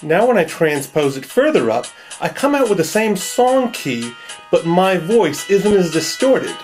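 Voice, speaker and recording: A man's voice: sits high, sing-song, measured pace, a little mellow, faint music behind. Nasal man narrating a wry tutorial. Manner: wry, joking. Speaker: video creator. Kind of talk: self-deprecating tutorial narration.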